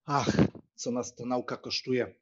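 A man playing a frank, teasing young Polish country squire in an animated, expressive audiobook performance. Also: medium pitch, a little slow, dull audio, natural voice. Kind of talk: dramatised audiobook acting.

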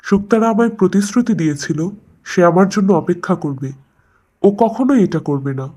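A man's voice: high-pitched, expressive, normal speed, mellow. A man playing a devoted, heartbroken young prince in a cartoon fairy tale, impassioned and insistent. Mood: distraught disbelief. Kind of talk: animated fairy tale voice acting.

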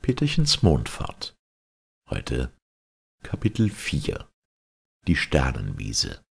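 A man with a gravelly, husky voice narrating a children's fairy tale as an audiobook reader, in an expressive, measured storytelling way. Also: a bass voice.

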